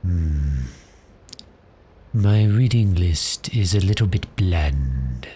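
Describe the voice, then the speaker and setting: A man playing a cheerful, courteous vampire posing as a customer, speaking politely and gently in an ASMR roleplay. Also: low-pitched, expressive, slight background noise, full character voice. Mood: musing, pleasant. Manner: polite, unhurried, softly genteel with measured pauses.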